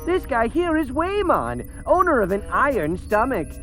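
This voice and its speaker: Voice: sits high, wildly swooping pitch, normal speed. Comic narrator played by an actor for a cartoon parody. Self-important, rambling, swooping delivery, music bed. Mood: smug, rambling. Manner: mock-grandiose, rambling. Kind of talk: comedic scripted narration. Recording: music behind the voice.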